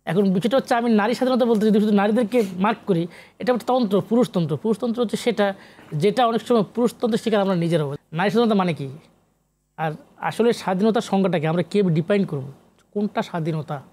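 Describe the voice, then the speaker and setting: Fast, high-pitched Bangladeshi man, emphatic. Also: darkish tone. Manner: emphatic, lecturing, mixes in English academic terms. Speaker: guest, professor and literary researcher. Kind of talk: animated TV interview discussion.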